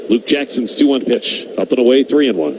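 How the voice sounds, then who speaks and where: A man's voice: mid-range voice, lively intonation, a little fast. A man calling live baseball play-by-play as a radio announcer. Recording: clear background noise, outdoor ballpark ambience.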